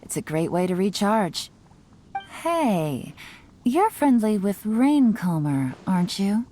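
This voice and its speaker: An actress playing a sly, self-assured young woman intelligence agent in a video game, speaking in a coy, teasing, unhurried way. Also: medium pitch, very animated, slight background noise. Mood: playful teasing. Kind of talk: scripted video game character voice lines. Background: soft music.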